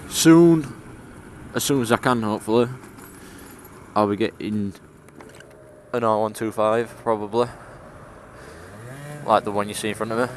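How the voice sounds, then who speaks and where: A young man in his late teens from England with a broad Yorkshire accent, chatting casually as he vlogs from his scooter outdoors. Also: lowish voice. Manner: chatty, laid-back, laughs now and then. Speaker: motovlogger. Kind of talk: casual vlog chat while riding. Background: faint engine and traffic noise.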